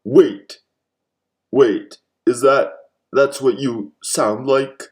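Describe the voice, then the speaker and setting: A man's voice: medium pitch, very slow, darkish tone. A man playing a megalomaniac, bossy mad scientist villain with theatrical delivery, in the style of old comedic radio mystery. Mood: exasperated. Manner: theatrical, exclaiming, cackling.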